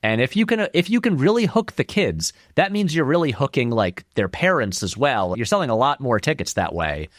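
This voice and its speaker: A man's voice: mid-range voice, big pitch swings, brisk, few pauses, no room sound, clean studio sound. A man giving informal, discursive and emphatic commentary as an interview guest, in a conversational style. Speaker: interview guest, film critic and video essayist.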